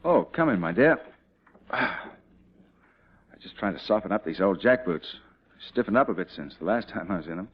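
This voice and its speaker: An actor playing a confident cavalry commander and devoted husband, in mid-century dramatic style. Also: male voice, deep voice, lively intonation, normal speed, long pauses, slight background noise. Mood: warm, jovial. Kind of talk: mid-20th-century American dramatic acting. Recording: dull old radio-era drama recording.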